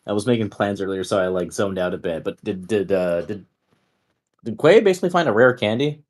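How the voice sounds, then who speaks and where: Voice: low-pitched, animated, normal speed, a little mellow. Someone chatting casually during an online tabletop roleplay session. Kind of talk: casual chat.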